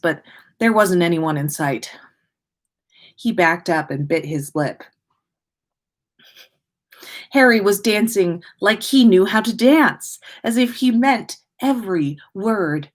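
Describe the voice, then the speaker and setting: Female livestream host and storyteller: informal, expressive, slower-paced, with a very animated pitch. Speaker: podcast livestream host reading listener stories. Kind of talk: casual livestream chat and expressive story reading.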